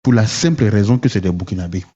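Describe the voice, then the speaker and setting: A man's voice: fairly low pitch, fast, dark tone, muffled recording. A man from Côte d'Ivoire, stammering and repeating words while ranting at his viewers with rhetorical questions. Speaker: online commentator. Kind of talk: heated political rant to viewers.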